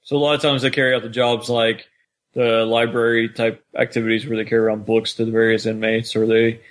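A man's voice: mid-range voice, flat delivery, a little fast. A man talking casually as a podcast co-host, discussing a story with his partner. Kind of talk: casual discussion of an audio drama.

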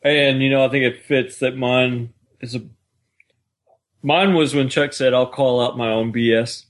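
A man's voice: mid-range voice, fairly even intonation, unhurried. A man co-hosting a podcast, chatting casually as a fan about a story. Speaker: podcast co-host.

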